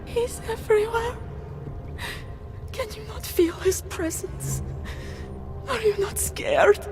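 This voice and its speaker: A frightened captive woman from a video game, with a high, breathy voice. She pleads urgently and anxiously while strong music plays underneath. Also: slow pace. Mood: fearful, urgent. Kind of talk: video game cutscene acting. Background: loud music.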